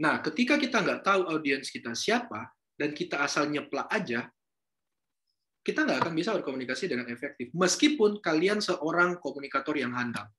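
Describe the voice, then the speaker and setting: A man's voice: fairly high pitch, sing-song, fairly quick, sharp tone. A man giving a casual university lecture, chatting with students, asking them questions and slipping in Javanese and English words. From North Sulawesi, Indonesia.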